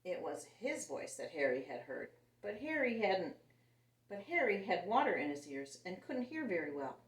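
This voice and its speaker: A woman reads a picture book to little listeners in a lively, expressive voice that rises and falls a lot. The sound is roomy and she sits some distance from the mic. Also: lowish voice, moderate pace. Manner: animated and expressive, voicing characters. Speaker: children's story reader. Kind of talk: reading a picture book aloud to children.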